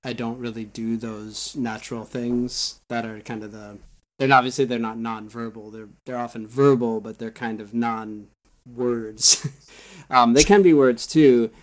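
Relaxed American man explaining with humor. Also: mid-range voice, a little slow, poor audio. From United States. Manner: relaxed, self-deprecating explainer. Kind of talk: casual chat.

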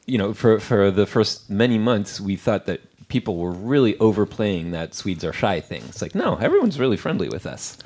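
A man guest in a casual interview, dropping dry jokes and steady "mm-hmm" replies. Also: lowish voice, normal speed, hardly any pauses. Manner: dry joking asides, frequent backchannel "mm-hmm".

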